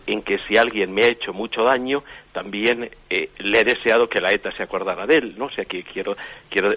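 A man's voice: lowish voice, fairly bright tone. A man from Madrid with a Spanish accent, calling in to a radio show and talking hesitantly with many stammers and restarts. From Madrid, Spain. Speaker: radio caller. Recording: lo-fi phone-in radio line.

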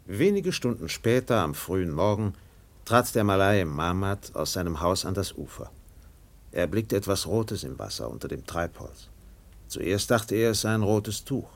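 A man narrating a radio drama in a measured, literary storytelling style. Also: low-pitched, lively intonation, gravelly.

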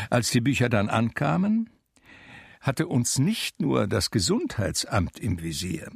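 Radio drama narrator: reflective brother, measured and dry-witted, husky low voice. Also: male voice, no echo, full character voice. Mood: wry, deadpan. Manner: dry, measured, literary storytelling. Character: wry, reflective brother recalling his eccentric household.

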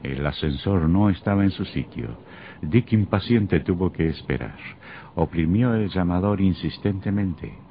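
A man's voice: deep voice, animated, a little slow. A male actor narrating a vintage radio horror drama in a grave, ominous, scripted style. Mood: grave, suspenseful. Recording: muffled, very noisy old radio recording.

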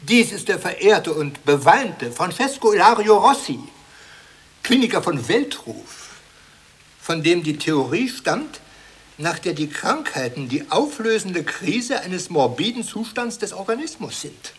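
An actor playing a ceremonious, excitable academy chairman in an absurdist radio comedy, pompous and declamatory. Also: high-pitched, a little slow, breathy edge, heavily acted. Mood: pompous, lecturing. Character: ceremonious, excitable academy chairman presenting his eminent colleagues. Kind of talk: 1980s German absurdist radio comedy acting.

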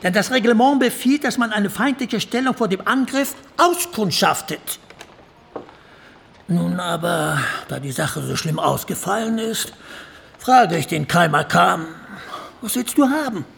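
A man's voice: sits high, expressive, unhurried, gravelly, a bit bright, slight background noise, full character voice. A male actor playing an irascible Ottoman major in a radio drama, haughty, commanding and emphatic. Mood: scornful. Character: irascible Ottoman major scolding his subordinates.

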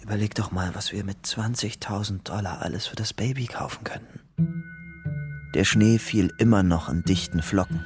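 A man's voice: on the low side, normal speed, over music, close to an everyday voice. An actor playing a young ex-convict father-to-be in a radio crime drama, terse and hard-boiled, partly narrating his own story. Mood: persuasive.

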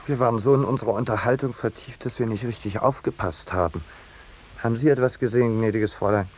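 An actor playing a peace-loving landscape painter, courtly and dryly ironic, in a 1950s radio play. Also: male voice, medium pitch, fast, darkish tone, close to an everyday voice. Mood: urbane irony. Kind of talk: 1950s German radio play acting with narration. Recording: noisy 1950s radio drama recording.

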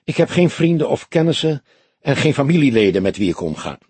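A man's voice: medium pitch, big pitch swings, fairly quick, a little mellow, breathy edge, no echo, voice pushed up. A man voicing a composed, proud and stern newly widowed woman in an audiobook crime story. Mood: cool, hard.

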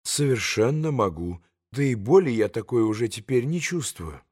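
A man reading an audiobook and voicing a gentle, modest young man who speaks quietly and mildly. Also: mid-range voice, big pitch swings, normal speed, husky. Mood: calm. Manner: soft, mild and restrained.

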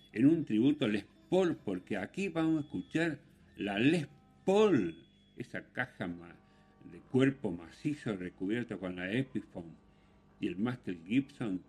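Husky, low-voiced male radio presenter. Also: a little mellow. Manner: halting, digressive, emphatic on key words. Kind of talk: unscripted music commentary on a radio show.

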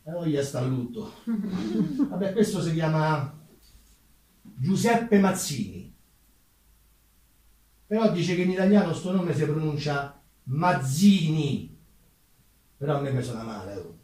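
A man's voice: a little high, expressive, takes it slowly, pauses often, a little mellow. A man with a Roman accent playing a chatty, self-deprecating working-class umbrella mender of the 1840s in a theatrical monologue. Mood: gossipy. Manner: folksy, conversational, rhetorical asides to the audience. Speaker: stage actor. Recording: very echoey room, distant microphone.

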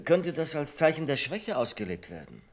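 An actor in a German radio play as a deferential, measured bank deputy who quietly goads his superior. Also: a little high, big pitch swings. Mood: confiding, goading. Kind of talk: German radio drama acting.

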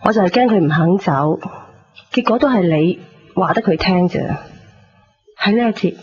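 A woman's voice: lowish voice, lively intonation, normal speed, a little hiss or hum, lightly acted. A woman with a Hong Kong Cantonese accent playing a caring, fussing middle-aged mother in a radio drama. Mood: kindly, chatty. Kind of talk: 1990s radio drama acting. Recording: dull lo-fi radio recording under music.

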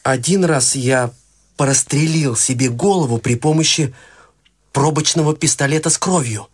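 Young actor as a halting morbid young man. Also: medium pitch, husky, lightly acted. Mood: deadpan. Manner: hesitant, halting, dry storytelling. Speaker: Harold, lead role in radio play. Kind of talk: radio play acting. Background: music.

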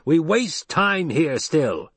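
A man's voice: high-pitched, measured pace, dry recording, voice pushed up. A man voicing a hostile, impatient desert tribesman in an audiobook, calling out in insistent protest.

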